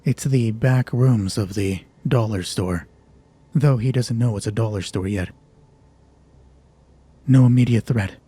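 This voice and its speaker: A man's voice: fairly low pitch, a little slow, long pauses, mellow, husky. A man hosting a solo tabletop roleplaying game, narrating the story and explaining rules conversationally, thinking aloud with self-deprecating asides.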